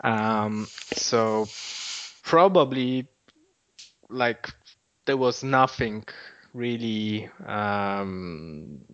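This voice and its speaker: A man's voice: on the low side, extremely slow, pauses often, clear, resonant tone. A man answering interview questions in a hesitant way, full of fillers and repeated words. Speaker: nonprofit founder, guest. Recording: dull lo-fi audio.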